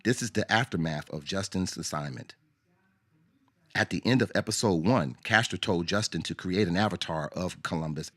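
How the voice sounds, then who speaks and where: A man's voice: low-pitched, normal speed, a bit bright, husky. A man reading scripted narration for a radio drama. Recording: narration over music. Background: music.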